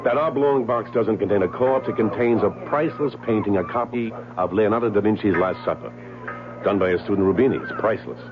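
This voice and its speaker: Male actor as genial talkative husband, eager, expressive, theatrical radio acting, thin old broadcast, music underneath. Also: on the low side, brisk, lightly acted. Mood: eager, jovial. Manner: hearty, eager, stammering when flustered. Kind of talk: vintage radio drama acting. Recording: tinny vintage radio recording, music behind.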